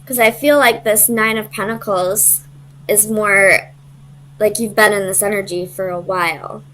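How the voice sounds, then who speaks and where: Lady tarot reader, normal pitch, chatty and informal, kinda hesitant, figuring out what to say out loud. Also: steady tone, unhurried, slight background noise, poor audio. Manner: hesitant, searching for words, thinking aloud. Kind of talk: casual conversational tarot reading.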